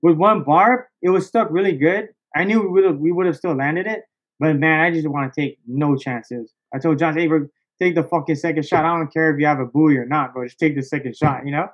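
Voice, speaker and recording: Male podcast guest, higher-pitched, excitable casual storyteller, slangy and sweary, slightly lo-fi recording. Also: a little fast. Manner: slangy, excitable, profanity-laced, peppered with "bro" and "dog".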